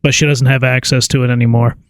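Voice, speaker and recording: A man's voice: mid-range voice, level tone, quick pace, no room sound, clean studio sound. A man making a prank call, talking casually and informally with a hesitant stammer. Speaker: prank caller. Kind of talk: casual phone conversation.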